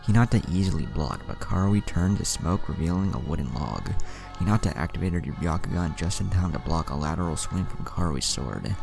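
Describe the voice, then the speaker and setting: A man narrates fiction in an extremely low voice with a gravelly, creaky edge. His intonation moves around a lot as he reads the story, with music playing behind him. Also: animated, a little fast. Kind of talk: storytelling narration, reading aloud with character dialogue. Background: background music.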